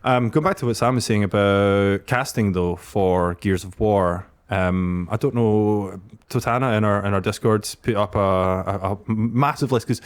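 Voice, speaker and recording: A man's voice: lowish voice, measured pace, hardly any pauses, smooth. A Scottish man hosting a casual panel discussion, repeating words as he feels out his thoughts. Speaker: podcast host, game shop staff.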